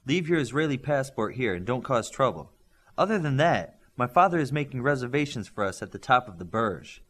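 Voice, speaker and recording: A blunt teenage boy who speaks plainly and with pride, as a male audiobook reader voices him. His pitch moves in lively swings, kept near the reader's own voice. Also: brisk. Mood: proud. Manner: blunt, proud. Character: teen boy, proud, blunt team member.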